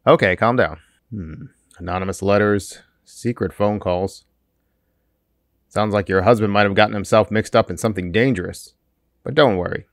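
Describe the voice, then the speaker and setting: A man's voice: lowish voice, normal speed, no echo, studio quality, pitched below the performer's own voice, lightly acted. A man playing an intrepid, confident private detective in a theatrical old-time radio serial style. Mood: reassuring. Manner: unflappable, theatrical. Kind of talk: 1940s radio detective acting.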